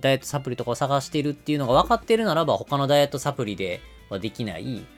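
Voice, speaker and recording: A man's voice: medium pitch, expressive, rapid-fire, soft background music. A man explaining things step by step in a business podcast style, using everyday examples. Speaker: podcast host explaining marketing.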